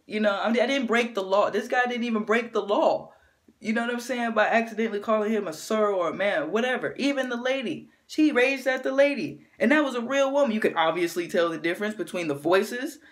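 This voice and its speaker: A woman with a deep voice gives an animated opinion rant to camera, often checking in with her listener. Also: fairly quick. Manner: animated, keeps asking "you know what I'm saying". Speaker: vlogger.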